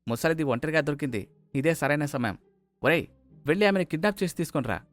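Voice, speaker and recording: A man's voice: on the high side, lively intonation, quick pace, soft background music, natural voice. A man voicing a scheming, vengeful gangster villain in a story. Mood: commanding.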